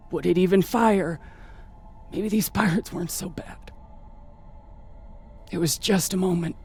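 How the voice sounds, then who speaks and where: A man's voice: on the high side, breathy edge. A man playing a haunted, hardened space pilot in a video game, terse, wry and profane. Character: hardened, haunted, foul-mouthed space pilot grieving a husband. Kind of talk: dramatic video game dialogue.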